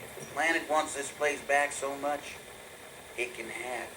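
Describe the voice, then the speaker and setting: A man's voice: mid-range voice, steady tone, measured pace, natural voice. Man playing a grumbling, noise-backed colony crewman. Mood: irritated. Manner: grumbling, dry. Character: disgruntled, weary colony crewman hating his post. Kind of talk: scripted video game audio log.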